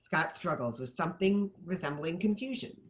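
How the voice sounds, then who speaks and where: A woman's voice: lowish voice, animated, normal speed. A woman reading stage directions as scripted narration at a screenplay table read.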